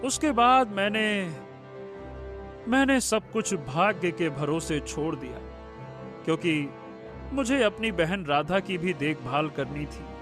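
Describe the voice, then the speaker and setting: A sorrowful, humble young painter voiced by a man in an animated folk story. The voice sits high and moves very slowly, halting and plaintive, with faint music behind it. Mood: grieving, resigned. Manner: halting, plaintive, repeats phrases for effect. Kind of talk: Hindi animated moral story dialogue.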